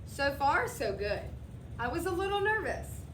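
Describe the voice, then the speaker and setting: A woman reading a children's story aloud with expressive narration. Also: high voice, moderate pace. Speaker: storybook reader. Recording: roomy, distant, slightly noisy.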